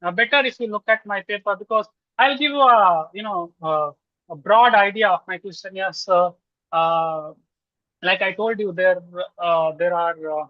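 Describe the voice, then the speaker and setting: A man from Lucknow with an Indian English accent, answering questions as a guest researcher with many pauses and restarts. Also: sits high, no room sound. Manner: frequent "uh" pauses and restarts, reasoning out loud. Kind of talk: webinar answers, hesitant and filler-heavy. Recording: dull, dry video call audio.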